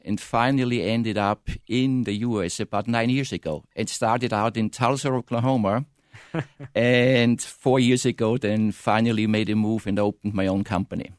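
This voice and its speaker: Low-voiced Austrian man being interviewed. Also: steady tone, normal speed, no echo, clean studio sound. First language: German. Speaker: interview guest, consulting firm founder. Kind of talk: radio interview answers. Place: radio studio.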